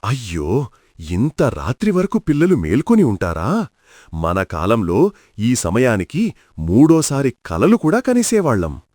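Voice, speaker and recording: Man playing grumbling old village father-in-law, very animated. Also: medium pitch, measured pace, long pauses, dry recording, studio quality. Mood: bemused complaint. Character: elderly village father-in-law, puzzled by city habits. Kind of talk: cartoon story dialogue.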